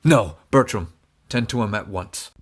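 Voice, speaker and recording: A man's voice: lowish voice, animated, measured pace. A man playing a stern, commanding Duke in an audio drama, speaking formal, archaic lines with an imperious manner. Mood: urgent, commanding. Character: stern, commanding Duke presiding over a duel. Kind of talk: stage-play audio drama acting.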